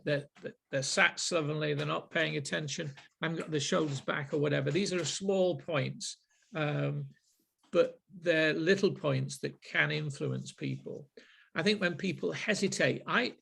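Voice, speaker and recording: A middle-aged man with a Manchester accent, leading a group sales session in a deliberate, reflective way. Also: on the high side, vocal fry, fairly bright tone. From Manchester, England. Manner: deliberate, with frequent ums and restarts.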